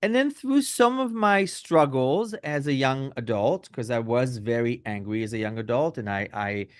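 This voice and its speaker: A man's voice: mid-range voice, lively intonation, normal speed, smooth, no room sound. Middle-aged guy from belgium and israel, rabbi talking it out, dry sound, lots of "sort of" and "right?". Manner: hedging with frequent fillers and tag questions. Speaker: rabbi, podcast guest. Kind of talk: conversational interview answers.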